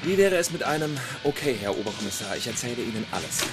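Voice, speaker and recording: An actor plays a male chief inspector in a radio crime drama. He is terse and dryly probing, firing brisk questions in a mid-pitched voice, with music playing behind him. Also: lightly acted. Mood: dry, pressing. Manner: clipped, dry, deadpan.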